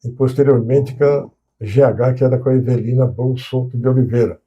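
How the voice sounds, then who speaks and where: A man in his sixties with a Brazilian accent, a guest in an interview, recalling his career at an unhurried pace with many restarts and hesitations. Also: mid-range voice, steady tone, mellow, lo-fi sound. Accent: Brazilian Portuguese, São Paulo coast. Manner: reminiscing, with frequent restarts and hesitations. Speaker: guest, civil engineer. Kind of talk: casual interview talk.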